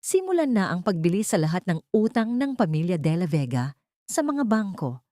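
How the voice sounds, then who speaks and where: Woman narrator as a low-voiced, imperious young heiress. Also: very animated, normal speed, warm tone, dry recording, clean studio sound. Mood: cold, commanding. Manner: cold, authoritative. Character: cold, vengeful young heiress with commanding authority.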